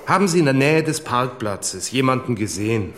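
An actor playing a controlled police lieutenant in a radio drama, putting persistent, matter-of-fact questions. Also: male voice, mid-range voice, big pitch swings, normal speed, a bit bright, a little hiss or hum. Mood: businesslike. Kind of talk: naturalistic radio drama acting.

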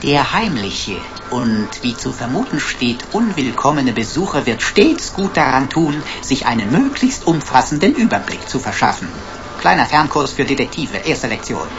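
An actor playing a cheeky, pedantic talking computer sidekick in a radio drama, florid, pompous and mock-servile. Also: male voice, medium pitch, very animated, moderate pace, over music. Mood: pompous lecturing. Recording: dull, noisy radio drama recording.

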